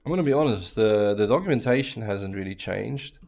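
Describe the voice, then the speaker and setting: A man's voice: lowish voice, brisk, vocal fry. Chatty Afrikaans-speaking man streaming. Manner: relaxed, chatty, many fillers and restarts. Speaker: streamer teaching networking. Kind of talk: casual live-stream chat while working a lab.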